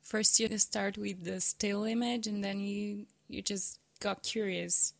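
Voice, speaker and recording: Woman podcast host, friendly, mid pitch, little bit off phrasing. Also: steady tone, takes it slowly, vocal fry. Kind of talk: podcast intro and interview.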